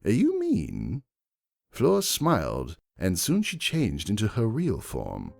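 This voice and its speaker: A man narrates fiction dramatically in the first person. His voice is fairly low with a creaky edge and very wide swings of pitch, set over faint music. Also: huge pitch swings, slow. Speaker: audiobook-style reader.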